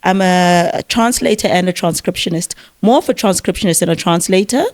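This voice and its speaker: A woman's voice: fairly low pitch, brisk. A woman from Cape Town with a South African accent, a novelist chatting as an interview guest, telling stories and laughing often. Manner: warm, laughs often, storytelling. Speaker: author guest. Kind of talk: conversational interview.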